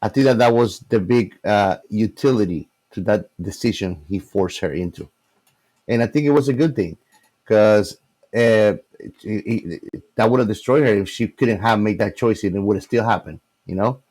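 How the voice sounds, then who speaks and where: A man's voice: on the low side, normal speed, darkish tone. A man co-hosting a casual movie discussion, talking in a slangy, emphatic way. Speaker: podcast co-host.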